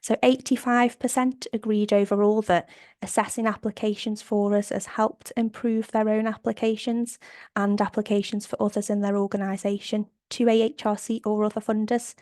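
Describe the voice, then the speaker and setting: Croaky woman presenting survey findings. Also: mid-range voice, a little mellow, no echo, clean studio sound. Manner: upbeat, clear and methodical. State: slight cold, a bit croaky. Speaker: operations manager presenting survey data. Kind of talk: webinar slide presentation. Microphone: video call.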